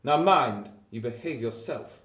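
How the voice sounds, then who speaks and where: Brusque, suspicious gentleman, echoey. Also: mid-range voice, expressive, unhurried. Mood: stern, suspicious. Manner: gruffly admonishing, pompous. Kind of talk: radio play acting. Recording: echoey stairwell sound.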